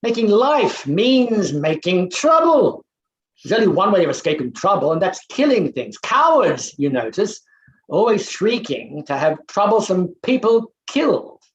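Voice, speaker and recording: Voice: mid-range voice, very animated, a little slow, lo-fi sound. Overbearing middle-aged male professor played by an actor, stage drama, declamatory and scornful, wide swooping delivery, echoey room. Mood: pompous, dismissive. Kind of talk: theatrical staged play reading. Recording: roomy, echoing sound.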